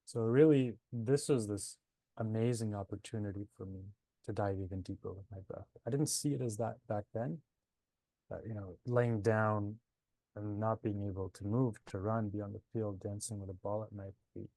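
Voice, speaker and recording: A man's voice: lowish voice, vocal fry, warm tone, dry recording, low-quality recording. A man in his twenties from Nepal and Canada, telling his personal story in a conversational interview. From born in Nepal, moved to Canada at seven. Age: 20s. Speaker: interview guest, breathwork teacher.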